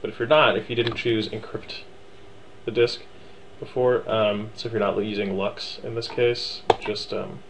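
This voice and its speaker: A man hosting a screen-recorded software tutorial, explaining each step casually and offhandedly, with many ums and wry asides. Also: fairly low pitch, flat delivery, measured pace, noisy background. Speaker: tutorial host.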